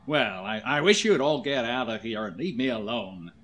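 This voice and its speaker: An actor playing a crusty, irritable older man, gruff, curt and nervously stammering, in a 1940s radio play. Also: mid-range voice, animated, moderate pace, noisy background, lightly acted. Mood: grumpy. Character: crusty, jittery, irritable older American father. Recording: vintage 1940s radio, music behind.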